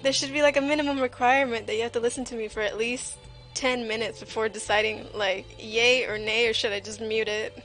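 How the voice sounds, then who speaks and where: Woman streamer w a slightly high voice, chatting casually n joking around while drawing, music on behind her. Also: steady tone, fairly quick, a bit bright. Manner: playful, jokey, self-deprecating. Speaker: livestream host, artist.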